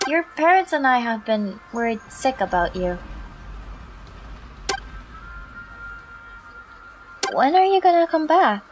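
A woman's voice: a little high, poor audio. A woman voicing a perky, clingy young cartoon ex-girlfriend in a coaxing, sing-song manner. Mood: worried, pressing.

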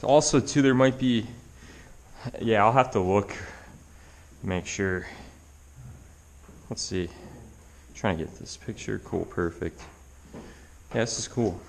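Man with a lowish voice, relaxed think-aloud commentary, recorded inside a cave. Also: takes it slowly, vocal fry, pauses often, a little hiss or hum. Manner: easygoing, chatty, thinking aloud. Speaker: vlogger exploring caves. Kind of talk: casual handheld exploration commentary.

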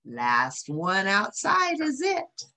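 A woman's voice: medium pitch, wildly swooping pitch, extremely slow, bright, forceful tone, voice pushed up, heavily acted. A woman voicing a playful, good-natured male dog in a folksy, exclamatory way for a storybook. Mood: eager.

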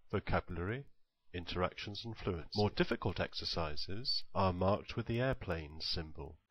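A man reading scripted tutorial narration in a measured, instructional manner. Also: sits low, rough recording.

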